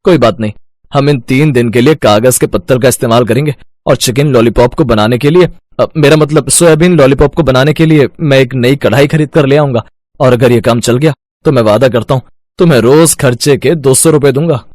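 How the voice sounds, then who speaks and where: An actor playing a weary, henpecked village food vendor in a story cartoon, expressive and given to grumbling and pleading. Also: male voice, medium pitch, fairly quick, dry recording, natural voice. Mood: pleased. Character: weary, henpecked village chicken-lollipop street vendor husband. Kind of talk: animated moral-story voice acting.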